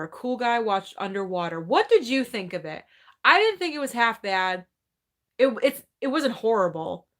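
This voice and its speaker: Woman, late thirties, movie channel host, casual live Q&A, chatty, upbeat, average pitch. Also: a bit bright. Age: 30s. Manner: chatty, upbeat, thinks aloud. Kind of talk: casual live-stream Q&A, reading viewer comments. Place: her room at home.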